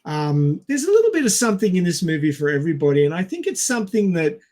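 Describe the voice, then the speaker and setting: A man co-hosting a casual film discussion, speaking in a halting, hesitant way and stretching out words. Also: fairly high pitch, very animated, darkish tone. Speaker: podcast co-host.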